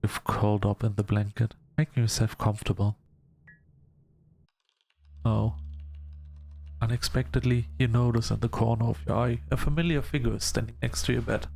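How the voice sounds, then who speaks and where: A man reading a visual novel aloud as narration, with casual asides and some halting restarts. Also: mid-range voice, fairly even intonation, gravelly, darkish tone.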